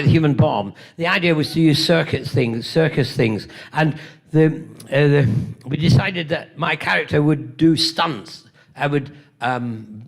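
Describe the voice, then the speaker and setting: Gravelly older British man telling stories. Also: medium pitch, a bit bright. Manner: rambling anecdotal, playful, self-mocking asides. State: coughing, tickle in throat. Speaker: convention guest actor. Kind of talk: convention Q&A storytelling. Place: convention hall stage.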